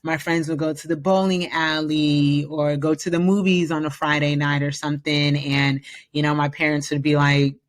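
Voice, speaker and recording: A woman's voice: low-pitched, little pitch movement, normal speed. A woman in her thirties from the Bay Area, talking as a guest in a casual interview, upbeat and confident with plenty of fillers. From San Francisco Bay Area, US. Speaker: podcast guest.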